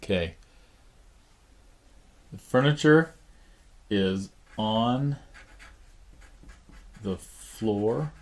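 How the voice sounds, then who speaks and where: A man teaching a drawing lesson, thinking aloud slowly with many pauses, his voice raspy. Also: fairly low pitch, expressive. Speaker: art teacher. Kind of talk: drawing lesson, talking while sketching. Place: art studio.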